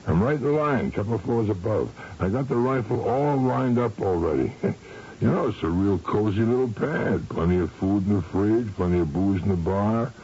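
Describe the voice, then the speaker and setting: A man's voice: lowish voice, animated, moderate pace, warm tone, slightly rough. An actor playing a cocky, cold-blooded hired gunman with smug, slangy tough talk in a 1970s radio drama. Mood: cool, assured. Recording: dull, hissy old radio recording.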